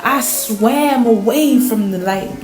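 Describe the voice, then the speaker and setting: A woman's voice: on the high side, slow pace, a little mellow, faint music behind. A woman playing a storm-shaken fisher in a radio play, recounting a surreal vision at sea in intense, colloquial storytelling. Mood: haunted. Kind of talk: dramatic radio play monologue. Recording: roomy, echoing sound.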